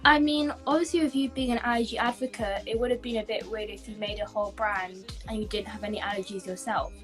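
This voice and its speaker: A woman's voice: mid-range voice, steady tone, fast talker, faint music behind. A young woman hosting an interview, asking long casual questions with lots of fillers. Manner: rambling questions with many fillers. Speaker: host and interviewer.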